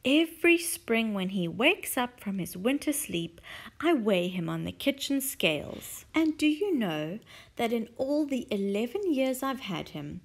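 A woman voicing a sweet, kindly lady who dotes on her pet tortoise, speaking in a high, fluttery, pleading way. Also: full character voice.

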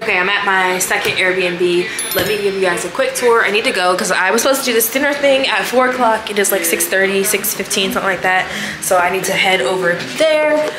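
A woman's voice: mid-range voice, fairly quick, hardly any pauses, music in the background. A woman in her twenties talking casually to a handheld camera while she travels, rambling and thinking aloud. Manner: chatty, rambling, thinks aloud with likes and ums. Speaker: travel vlogger. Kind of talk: casual solo travel vlog. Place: hotel room and outdoor restaurant. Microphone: handheld camera at arm's length.

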